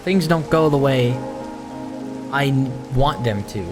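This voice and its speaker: A man giving a casual, introspective monologue over gameplay, speaking in a halting, musing way and repeating phrases for emphasis. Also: medium pitch, over music. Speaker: host of a gaming video series.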